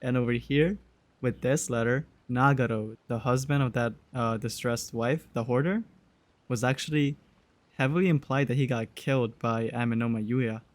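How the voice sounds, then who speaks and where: A man's voice: medium pitch, steady tone, moderate pace, no echo. Young man, gaming commentator, middle register, casual sweary slang and thinking-aloud reactions. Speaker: gaming streamer.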